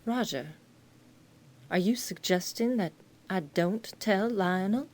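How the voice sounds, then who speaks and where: A woman's voice: mid-range voice, slow pace, a little mellow, lightly acted. A woman playing an earnest, guilt-ridden grown daughter and troubled wife in an audio drama, speaking emotionally. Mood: anxious.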